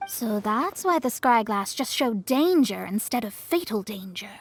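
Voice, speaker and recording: An actress playing a haughty, sharp-tongued young woman in a video game, with a theatrical, scolding manner. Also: on the high side, lively intonation, measured pace. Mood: scolding, wry. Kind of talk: animated game voice acting.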